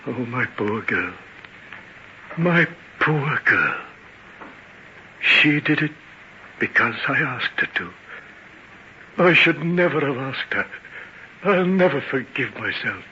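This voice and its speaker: An actor in an old-time radio play as a grief-stricken male servant, halting and deferential. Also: mid-range voice, animated, pushed and bright, exaggerated voice. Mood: shocked, grieving. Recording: muffled, hissy old radio recording.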